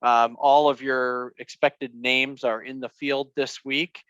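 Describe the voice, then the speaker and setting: Male podcast co-host, mid-pitch, hesitant, a dull and dry lo-fi recording. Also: little pitch movement, slow, fairly bright tone, smooth, no echo. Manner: hesitant, many fillers. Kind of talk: casual sports chat.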